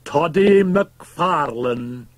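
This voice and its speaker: A man's voice: mid-range voice, expressive, takes it slowly, darkish tone, breathy edge, no room sound, pitched above the performer's own voice, heavily acted. A man voicing a bitter, defiant old drunkard in a dramatized gothic audiobook, speaking in a declamatory, scornful way. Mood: grim, challenging. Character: bitter, drink-sodden old Scotsman, fierce and defiant.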